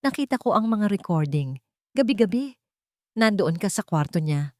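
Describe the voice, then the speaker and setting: A woman's voice: medium pitch, lively intonation, normal speed, dark tone, no echo, studio quality, natural voice. A woman voicing a cold, suspicious rich young male CEO in a story, curt and threatening in manner. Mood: angry, accusing.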